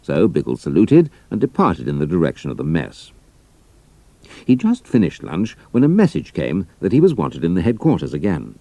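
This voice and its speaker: A man's voice: very deep voice, vocal fry, dark tone, no echo. A man narrating a scripted audio drama as a storyteller.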